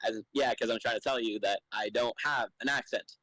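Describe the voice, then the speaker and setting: A young man in a casual podcast chat, answering questions slowly and haltingly, with many fillers. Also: mid-range voice, fairly even intonation, sharp tone, dry recording, rough recording. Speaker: podcast guest being questioned.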